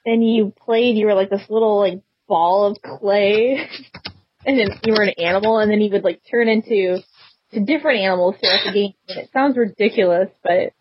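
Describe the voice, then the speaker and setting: A woman's voice: mid-range voice, normal speed, slight background noise. A woman from the Detroit area chatting on a podcast, rambling and hesitant as she searches for names. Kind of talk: casual chat. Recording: dull lo-fi online call.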